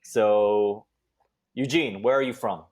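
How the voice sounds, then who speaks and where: A man's voice: lowish voice, slow pace. A man from the Bay Area, moderating a casual live-streamed panel, easygoing with plenty of fillers and restarts. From California Bay Area. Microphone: home video call.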